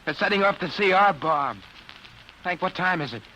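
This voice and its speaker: High-pitched, urgent test pilot. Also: male voice, expressive, moderate pace, bright, forceful tone. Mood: frantic, pleading. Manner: urgent, insistent pleading. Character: desperate test pilot pleading to stop doomsday. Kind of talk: 1950s radio drama acting. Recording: faintly noisy vintage 1950s radio recording.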